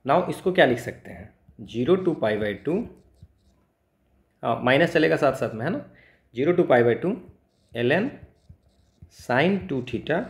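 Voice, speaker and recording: A man with an Indian accent teaching step by step as a maths tutor, in an instructional way, repeating phrases and checking understanding with short tags. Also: medium pitch. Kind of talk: step-by-step exam lesson, explaining worked solutions. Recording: echoey, roomy sound.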